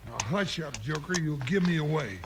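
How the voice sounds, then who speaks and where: An actor playing an excitable, folksy, talkative western sidekick in a 1950s radio drama. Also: male voice, a little high, moderate pace. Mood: wary muttering. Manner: folksy drawl, yells when excited. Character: excitable, talkative old-west sidekick deputy, comic and loyal. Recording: hall reverb, faint hiss of old radio recording.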